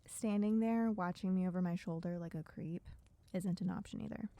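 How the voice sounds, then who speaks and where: A woman's voice: lowish voice, steady tone, normal speed, dark tone, no echo, lightly acted. A woman playing a wry, bossy, guarded survivor in a dystopian audio roleplay, speaking to a silent listener in a dry, chiding, sardonic way. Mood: dry, resigned.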